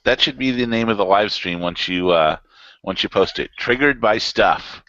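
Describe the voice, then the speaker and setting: A man's voice: fairly low pitch, moderate pace, pushed and bright. A man talking casually as a participant in a group online call with dull, lo-fi sound. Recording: dull lo-fi internet call audio.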